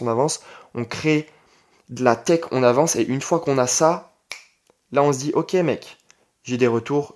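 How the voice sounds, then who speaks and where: Young adult male, medium pitch. Online creator delivering an informal, emphatic solo vlog with repeated key phrases. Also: a little mellow. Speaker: online entrepreneur and video creator. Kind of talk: casual vlog monologue.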